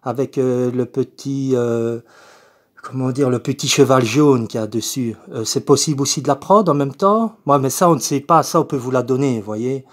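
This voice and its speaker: A man's voice: mid-range voice, normal speed, lightly acted. A man voicing a confused, polite eighty-year-old woman with a Belgian French accent, hesitant and repetitive, as in a prank phone call. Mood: insistent, chatty.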